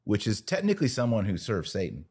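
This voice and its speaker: A man's voice: on the low side, wildly swooping pitch, fast talker, a little mellow, slightly rough. An American man hosting a podcast, giving conversational religious commentary with a sardonic edge. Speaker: podcast host.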